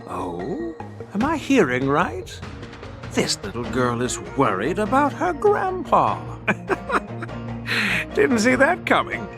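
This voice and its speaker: A man's voice: sits high, wildly swooping pitch, slow pace, pauses often, music in the background, full character voice. An actor playing an elderly general and doting grandfather in a video game, patient and easily amused. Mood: amused, teasing. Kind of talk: scripted game voice acting.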